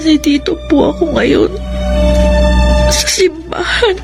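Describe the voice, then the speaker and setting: A woman playing a troubled, remorseful mother in a Filipino radio drama, speaking with halting, pleading emotion. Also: high-pitched, gravelly, exaggerated voice. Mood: pleading prayer. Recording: loud background music under the voice. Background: dramatic music.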